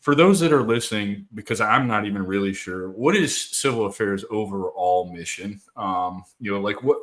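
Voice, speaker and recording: A man hosts a casual veteran podcast, his voice pitched on the low side. He is easygoing and chummy, laughing readily between questions. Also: moderate pace. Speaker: podcast host, Army veteran. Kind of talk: casual conversational interview.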